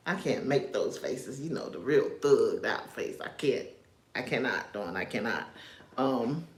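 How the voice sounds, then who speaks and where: A woman's voice: very deep voice, animated, measured pace, darkish tone, roomy sound. A woman from New York, raised in North Carolina, giving casual livestream commentary. She talks in a chatty way, drifts off topic and chuckles often. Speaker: social media commentator.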